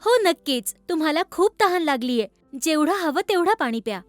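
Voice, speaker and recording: A woman voicing a kind-hearted, innocent young girl in a children's cartoon story, earnest and expressive. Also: high-pitched, a little fast, no room sound, soft background music. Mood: earnest, grateful.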